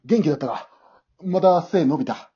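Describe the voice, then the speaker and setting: A man plays a gentle, awkward father in an amateur radio drama. The voice is soft-toned and slightly rough, with wide swings in pitch. The recording is dull, made remotely at home. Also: lively intonation, normal speed. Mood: awkward, tentative. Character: awkward, gentle divorced father of a teenage girl.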